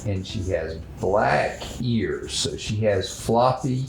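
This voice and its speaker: A man hosting a children's drawing show, talking in a gentle, unhurried, halting way as he thinks aloud through a casual lesson. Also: fairly low pitch, lively intonation, creaky, reverberant, faint music behind, rough recording.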